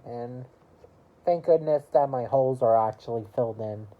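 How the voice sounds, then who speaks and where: A woman in her early twenties chatting casually to camera about her year, rambling and halting, with lots of "you know" fillers. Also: extremely low pitch, phone-quality sound. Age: early 20s. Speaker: vlogger.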